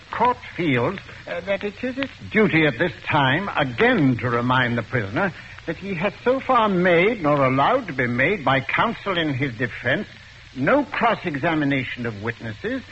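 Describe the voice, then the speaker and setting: A pompous crown court judge. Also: male voice, fairly high pitch, a bit bright. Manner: pompous, measured, formal with hesitant uhs. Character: formal older male judge of a crown court. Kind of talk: 1940s radio acting. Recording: dull old radio recording, faint hiss.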